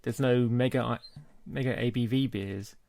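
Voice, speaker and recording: A man co-hosting a podcast talks in a relaxed, chatty way and laughs easily between thoughts. His voice has a gravelly, creaky edge at an ordinary pitch. It is recorded dry, with no room sound. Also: moderate pace, no echo. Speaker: podcast co-host. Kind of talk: casual chat.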